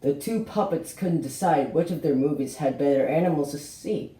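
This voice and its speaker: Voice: medium pitch, normal speed. A person presenting a homemade puppet show as host, with a theatrical, exclaiming storyteller manner. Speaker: host showing a puppet collection. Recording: roomy echo with faint noise.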